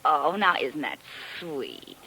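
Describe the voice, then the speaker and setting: An actress playing a detective's playfully scolding sweetheart in a 1950s radio drama, teasing and expressive. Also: female voice, sits low, slow, vocal fry, breathy edge, exaggerated voice, poor audio. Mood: teasing, concerned. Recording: old radio recording.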